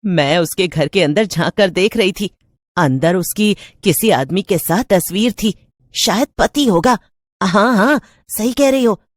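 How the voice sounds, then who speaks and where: A woman voicing a nosy, excitable housewife who chats and pries in a cartoon story. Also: mid-range voice, a little slow, no echo, natural voice. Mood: gossipy. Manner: eager, prying, chatty.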